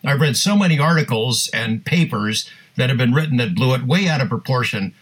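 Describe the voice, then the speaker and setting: Husky mid-pitched male co-host, casual. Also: lively intonation, fairly quick, fairly bright tone, low-quality recording. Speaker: podcast co-host. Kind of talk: casual chat.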